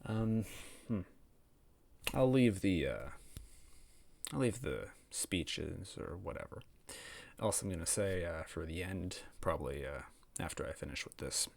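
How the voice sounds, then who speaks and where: A man's voice: low-pitched, lively intonation, measured pace, gravelly, darkish tone, dry recording. A man hosting a story read-aloud series, talking casually and narrating in the first person. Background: background music.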